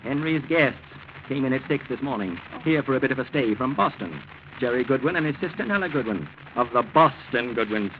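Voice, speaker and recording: A man's voice: medium pitch, expressive, fairly quick, close to an everyday voice. An actor playing a suspicious, sour old grandfather in a 1940s radio drama, needling people with sardonic, clipped questions. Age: older. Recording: muffled, noisy 1940s radio recording.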